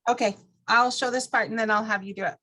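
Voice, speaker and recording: A woman presenting an informal software walkthrough to a class, explaining things step by step in a conversational way. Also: a little high, fairly even intonation, quick pace.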